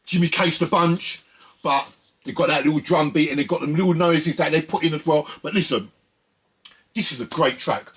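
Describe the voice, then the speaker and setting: British guy rambling casually about records to his viewers, highish husky voice, lots of slangy tag questions. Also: fairly bright tone. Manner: rambling and colloquial, with frequent tag questions like "you know what I mean". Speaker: host of a music video channel.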